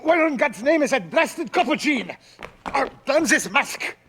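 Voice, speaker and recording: A man's voice: very high voice, expressive, a little slow, vocal fry, bright, forceful tone, a little hiss or hum, full character voice. A brusque, haughty nobleman. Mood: impatient. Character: impatient arrogant male nobleman in a hurry.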